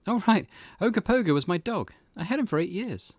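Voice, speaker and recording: A man's voice: high-pitched, animated, fairly quick, no room sound, studio quality, pitched above the performer's own voice. A man voicing a perky, teasing young woman who loves the occult, playful and eager, in a visual novel read-aloud. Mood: earnest, wistful.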